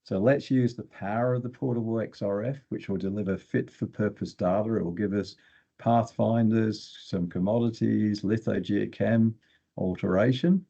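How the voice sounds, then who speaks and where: Older male technical guest, deep and creaky, plain-spoken explainer, a little muffled on the recording. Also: moderate pace, dark tone. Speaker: guest expert in exploration geochemistry.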